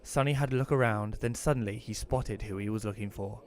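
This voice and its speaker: A man's voice: fairly low pitch, a little fast, close to an everyday voice. A man playing an awkward, reclusive widower who narrates his own audio drama in an informal, conversational way. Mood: reflective narration. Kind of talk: first-person audio drama narration and dialogue.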